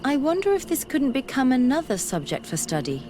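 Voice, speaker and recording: An actress playing an earnest, bookish young female scholar in a video game, speaking in a measured, articulate way. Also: sits high, huge pitch swings. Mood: thoughtful, hopeful. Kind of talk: video game dialogue acting. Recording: music behind the voice.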